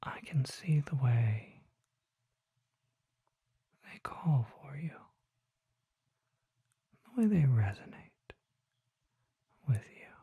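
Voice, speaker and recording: A performer voicing a mysterious, gentle and solemn vampire apothecary in a breathy, hushed whisper, with formal, deliberate phrasing for an ASMR roleplay. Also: mid-range voice, big pitch swings, no echo, heavily acted.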